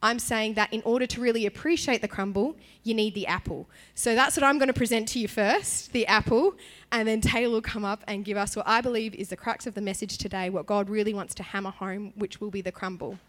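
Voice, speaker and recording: A woman worship leader giving a sermon in a church, chatty and animated in her emphasis, her voice partly gone from illness. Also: mid-range voice, a little fast, few pauses. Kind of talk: sermon with prayer.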